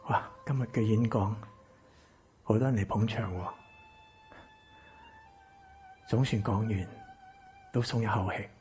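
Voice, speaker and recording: A man with a Hong Kong Cantonese accent acting an earnest, remorseful psychological counsellor in a radio play, speaking in a measured, heartfelt way. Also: on the low side, darkish tone, soft background music, lightly acted. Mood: relieved. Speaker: actor in a stage radio drama.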